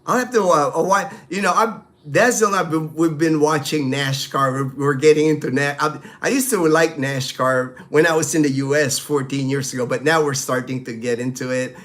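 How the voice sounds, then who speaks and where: A man's voice: fairly high pitch, moderate pace, few pauses, a bit bright. A middle-aged man from the Philippines, a native Tagalog speaker, hosting a live stream and chatting casually through viewer questions with many fillers and restarts.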